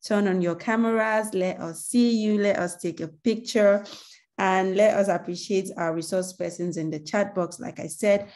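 A woman's voice: medium pitch, steady tone, normal speed, darkish tone. A woman hosting a webinar on a video call, thanking people and coaxing the audience in a grateful, chatty way. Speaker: webinar host. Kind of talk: closing remarks to a webinar.

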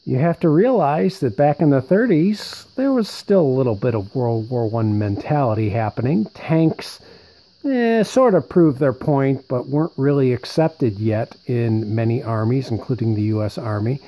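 A man's voice: mid-range voice, expressive, moderate pace, dark tone, poor audio. A man giving a casual, unscripted show-and-tell as presenter, rambling conversationally and thinking aloud. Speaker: presenter showing vintage military radio equipment.

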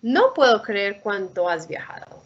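A woman's voice: medium pitch, big pitch swings, moderate pace. A woman reading a children's picture book aloud over a video call. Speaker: storybook reader. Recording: muffled, lo-fi video call audio.

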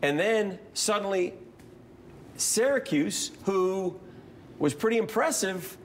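A middle-aged man co-hosting a studio sports show, narrating highlights and agreeing often with his partner. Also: expressive, slow pace, a little hiss or hum. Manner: upbeat, agreeable, frequent "yeah". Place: TV news studio.

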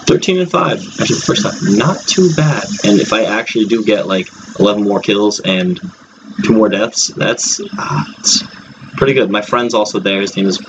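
A man with a fairly low voice talks off the cuff as a gaming video creator. His delivery is informal and a bit hesitant, wandering from thought to thought. Loud video game sound from a TV bleeds heavily into the microphone behind him. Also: moderate pace, background noise. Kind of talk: casual unscripted gameplay commentary.

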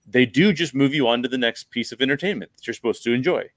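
Man, podcast host, mid-range pitch, explanatory, emphatic, casual film talk, dry close recording. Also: fast talker, a bit bright, no echo. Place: bedroom at home. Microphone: large microphone close to the mouth.

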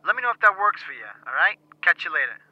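A man's voice: sits high, big pitch swings, fast talker, dry recording. A man acting an earnest, sympathetic studio associate in a radio drama, speaking a little hesitantly on a voicemail. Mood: friendly, businesslike. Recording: dull telephone voicemail with faint music.

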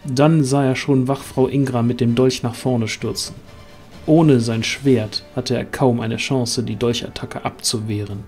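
Male storyteller, soft dark tone, mid register, dramatic scripted fantasy narration. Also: medium pitch, steady tone, unhurried, soft background music.

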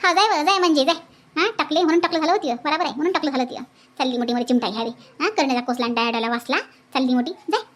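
Lady doing a spiteful boastful village auntie for a cartoon, super high voice, talks fast, varhadi marathi accent. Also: fairly bright tone. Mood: scornful, spiteful. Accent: Varhadi Marathi from Vidarbha. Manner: nosy, scornful, muttering to herself. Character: jealous, spiteful, boastful middle-aged village aunt. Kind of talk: comic cartoon dialogue.

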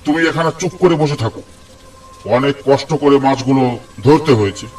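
A man's voice: mid-range voice, fairly quick, close to an everyday voice. A man voicing a stubborn, argumentative young village fisherman in a cartoon, cocky yet jumpy. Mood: indignant. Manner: argumentative, grumbling. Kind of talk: Bengali cartoon horror-comedy dialogue.

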